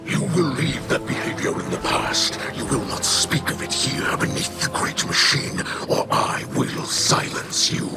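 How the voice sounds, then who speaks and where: A man playing a stern, honorable alien leader in a video game cutscene, speaking in a grave, formal and measured manner. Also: mid-range voice, big pitch swings. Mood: stern warning. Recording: loud music over the voice.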